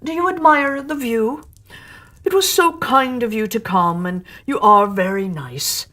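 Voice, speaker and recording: A woman's voice: a little high, a little slow, pushed and bright. A woman voicing a grandly persuasive, falsely tearful male walrus for a storybook poem, with a pompous, coaxing manner. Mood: smooth, scheming.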